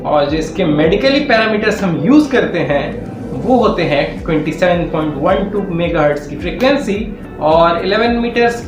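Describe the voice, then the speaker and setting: An Indian man teaching physiotherapy in a methodical, step-by-step explanatory lecture with repetitive phrasing. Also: high voice. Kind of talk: explanatory lecture with Hindi-English technical terms. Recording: roomy echo.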